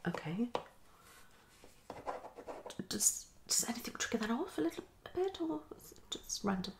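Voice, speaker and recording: Woman's ASMR roleplay, lively swooping pitch. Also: unhurried. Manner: muttering and repetitive, slipping between whisper and speech. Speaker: ASMR performer playing a psychologist. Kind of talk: fast, unpredictable ASMR roleplay. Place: small living room, indoors.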